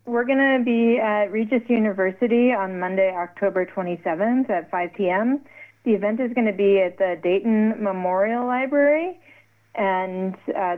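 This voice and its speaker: Woman giving event details over the phone. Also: medium pitch. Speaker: event organizer. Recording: tinny phone line.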